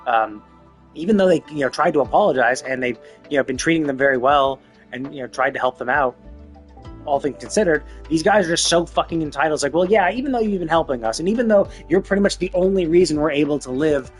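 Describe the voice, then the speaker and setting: Male game streamer, slightly high voice, exasperated and sweary casual commentary, faint music behind. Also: quick pace. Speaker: game livestreamer. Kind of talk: casual ranting commentary on a game's story.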